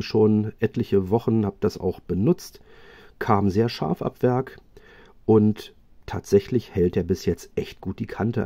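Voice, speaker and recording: A man reviewing knives in a chatty, casual way, often pausing with fillers and restarting his sentences. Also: on the low side, creaky, warm tone, dry recording. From Germany. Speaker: knife reviewer. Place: indoors at a table, hands-only video.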